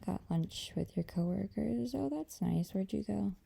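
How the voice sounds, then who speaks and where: A woman playing a gentle, affectionate girlfriend in an intimate ASMR roleplay, talking softly and hesitantly to a partner. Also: expressive, exaggerated voice. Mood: relaxed, affectionate. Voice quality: dark, creaky low voice. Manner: soft, hesitant, unhurried conversational delivery.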